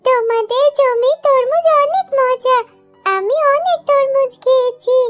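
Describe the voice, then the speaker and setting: A woman's voice: extremely high pitch, unhurried, faint music behind, voice pushed up. A woman voicing a cheerful, playful little girl fox in a children's cartoon. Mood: happy.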